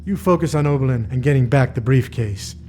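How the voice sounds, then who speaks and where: A man's voice: mid-range voice, fairly quick, a little mellow, a little hiss or hum, lightly acted. A man playing a calculating covert-operations boss in an audio drama, emphatic and authoritative. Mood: commanding.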